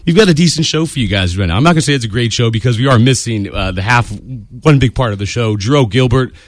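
A man with a slightly raspy voice hosting a casual sports radio show from a studio booth, rambling and upbeat with lots of fillers. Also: medium pitch, lively intonation, fast, no echo. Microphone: studio mic.

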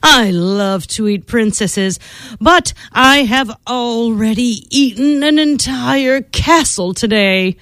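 Woman reading as a big bored dragon guy, slow lazy drawl, very full of himself. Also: a little high, fairly bright tone, dry recording. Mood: bored boastful. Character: boastful weary male dragon.

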